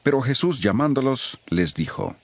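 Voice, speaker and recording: A man narrating scripture from a script, telling it in a measured, expressive storytelling way. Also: medium pitch, no room sound.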